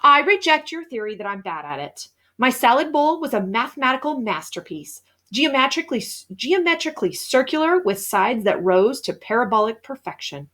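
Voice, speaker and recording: A woman's voice: on the high side, big pitch swings, moderate pace, hardly any pauses, a bit bright. A woman reading a storybook, voicing a small, nerdy, starry-eyed genius boy who lectures earnestly. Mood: indignant, proud.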